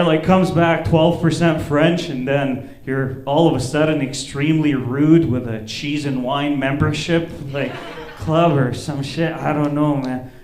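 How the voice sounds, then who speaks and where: A man's voice: mid-range voice, darkish tone. A man doing stand-up comedy, talking casually with lots of swearing and bantering with the crowd. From Egypt. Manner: casual, profane, conversational. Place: indoor open mic comedy venue. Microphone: stage microphone. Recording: echoey comedy club stage.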